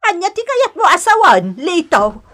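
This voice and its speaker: A woman's voice: extremely high pitch, very animated, normal speed, sharp tone, exaggerated voice. A Filipino woman with an Ilocano accent acting a quarrelsome older mother-in-law in a radio drama, theatrical and complaining. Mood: indignant scolding. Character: scolding, wheedling older mother-in-law, quick to take offence.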